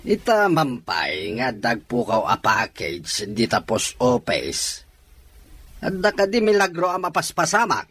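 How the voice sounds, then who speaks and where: A man playing a fretful older father in an audio drama, husky, with an Ilocano accent. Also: medium pitch, big pitch swings, unhurried, fairly bright tone, heavily acted. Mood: agitated.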